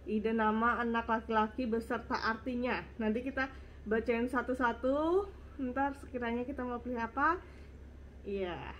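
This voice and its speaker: A woman's voice: a little high, normal speed, a bit bright, a little hiss or hum, low-quality recording. A young woman vlogger with a congested, slightly nasal voice, chatting casually through viewer questions and a little short of breath. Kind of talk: casual Q and A chat.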